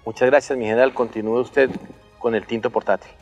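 A man's voice: mid-range voice, steady tone, fairly quick, muffled recording, faint music behind. A middle-aged man with a Colombian accent, a military commander delivering a formal, declarative briefing. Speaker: army brigade commander giving a briefing. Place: outdoors beside artillery guns at a military base.

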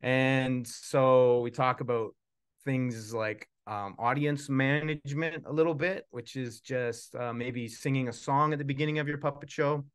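A man's voice: mid-range voice, fairly even intonation, a little slow, clean tone. A man in his forties chatting casually at a live book launch as a puppeteer and author, rambling and self-deprecating. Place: small indoor room with puppet stage.

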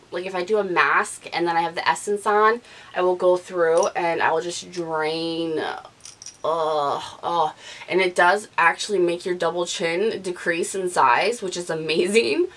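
Woman in her thirties, chatty beauty vlogger, gushing, slightly low voice, studio mic, indoors. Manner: chatty, gushing, animated with hand gestures. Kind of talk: casual product review talk. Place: living room, gray couch, indoors. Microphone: studio mic off camera.

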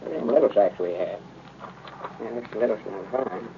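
An actor playing an earnest, folksy old country storekeeper in a radio comedy, speaking homespun talk with a rural Southern accent. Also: male voice, fairly low pitch, moderate pace, gravelly. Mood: busy, obliging. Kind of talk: 1930s radio comedy acting. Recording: narrow, noisy old radio recording.

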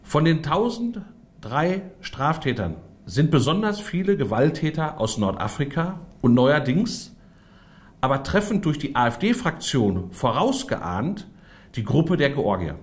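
A man's voice: on the high side, very animated, measured pace. A man delivering a prepared political statement in a formal, stiff and emphatic manner. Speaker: politician, party spokesman.

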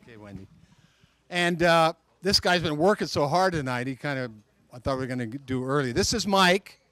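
A man's voice: fairly high pitch, lively intonation, moderate pace, fairly bright tone. A middle-aged man emceeing a club dinner meeting, introducing speakers in a friendly, chatty way on a handheld mic. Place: outdoor restaurant patio at night.

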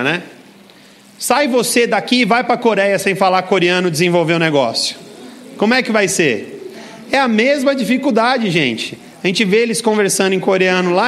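High-pitched Brazilian man giving a seminar. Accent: Brazilian Portuguese. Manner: energetic, persuasive, addresses audience directly. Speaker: seminar speaker. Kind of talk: motivational seminar talk.

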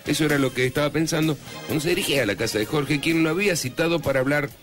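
A man's voice: fairly high pitch, racing. A man with an Argentine accent narrating a comic radio serial in a dramatic scripted style. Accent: Argentine Spanish. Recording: radio narration over loud music.

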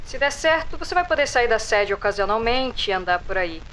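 A woman playing a composed doctor who questions a captive subject in an audio drama, measured and calmly probing. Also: a little high, slight background noise. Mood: calm, persuasive. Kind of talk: audio drama interview acting.

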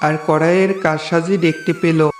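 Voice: medium pitch, little pitch movement, moderate pace. A storyteller reading a scripted fable narration for a cartoon. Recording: roomy echo with music behind.

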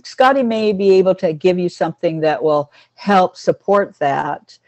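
A woman's voice: fairly low pitch, a little slow, dry recording. Woman leading a casual pet class. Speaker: host teaching a class on pets. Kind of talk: casual group video-call class.

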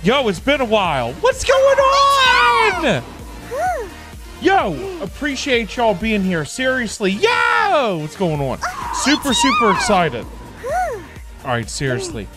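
Slow-talking middle-aged man livestreaming. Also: very high voice, huge pitch swings. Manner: halting, repetitive, informal. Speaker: livestream host. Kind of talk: casual livestream chat. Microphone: close desk microphone. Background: background music.

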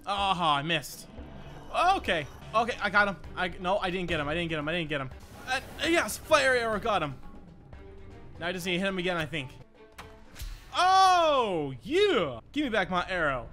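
A man hosting a let's-play, commenting excitedly and swearing freely, often repeating phrases in quick bursts. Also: sits high, soft background music. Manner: foul-mouthed, exclamatory, repeats phrases in bursts. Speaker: gaming video host.

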